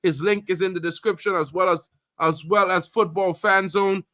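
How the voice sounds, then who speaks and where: Loud, bright-voiced man hosting a live stream. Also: high-pitched, normal speed, no room sound, studio quality. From Trinidad. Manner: animated, loud, repeats fillers like "you know what I mean". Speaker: host. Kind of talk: casual live-stream chat.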